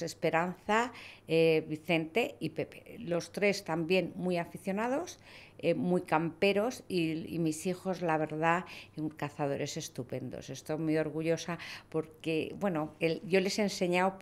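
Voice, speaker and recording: A woman in her sixties from Toledo, a guest telling stories from her life in a podcast studio, with lively anecdotes and frequent self-corrections. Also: on the low side. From Toledo, Spain. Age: 60s. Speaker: guest, hunting club president. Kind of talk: relaxed conversational storytelling. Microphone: studio mic on a stand, with headphones.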